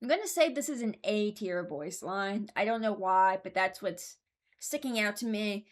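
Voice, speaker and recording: A woman's voice: medium pitch, lively intonation, moderate pace. Young woman, mid-range voice, casual video host, unscripted, rambling, hesitant. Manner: rambling, hesitant, thinking aloud. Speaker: video host ranking game voice lines.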